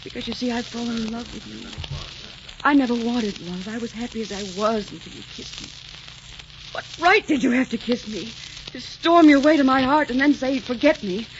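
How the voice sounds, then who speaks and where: A dull, faintly hissy 1940s radio recording carries a proud young heroine played by an actress with a rather high voice. She is a lovelorn captive, earnest and melodramatic in every phrase. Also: moderate pace, close to an everyday voice. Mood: pleading, hurt.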